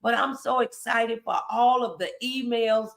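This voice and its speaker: Lady host doing casual faith motivation talk, real bright voice, emphatic, talks right to viewers. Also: fairly high pitch, a little slow, creaky. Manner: emphatic, encouraging, addresses viewers directly.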